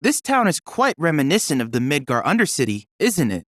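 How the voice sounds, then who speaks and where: A man's voice: a little high, big pitch swings, brisk, fairly bright tone, no room sound, studio quality. Polite, lilting young android boy. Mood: informative, curious. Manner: formal, precise, courteous, earnest diction. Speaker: video game research assistant character. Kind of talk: scripted video game character dialogue.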